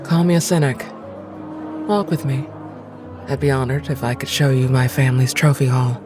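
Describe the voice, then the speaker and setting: A woman playing a haughty, cynical noblewoman in an audio drama, with an arch, aristocratic and deliberate manner. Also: extremely low pitch, over music. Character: haughty, cynical, insistent noblewoman, lady of the house.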